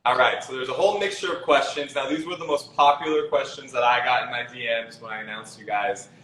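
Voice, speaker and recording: A man's voice: mid-range voice, fast, pushed and bright. A man hosting a casual livestream interview in an encouraging, complimentary way, over a video call. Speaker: interviewer host. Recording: roomy echo, noisy video call.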